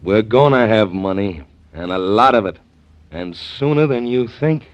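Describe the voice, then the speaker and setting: Drawling schemer, folksy, sly, sweet-talking, dull old radio sound. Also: male voice, on the low side, lively intonation, a little slow, very noisy. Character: folksy scheming male airline partner. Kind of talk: 1940s radio acting.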